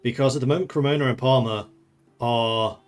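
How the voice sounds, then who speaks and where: A man from the Netherlands streams a strategy game, musing aloud over his moves in a mid-pitched, easygoing voice. He chats with viewers between decisions and laughs freely. Faint music plays underneath. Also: brisk. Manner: thinking aloud, chatty, laughs often. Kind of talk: casual gameplay commentary.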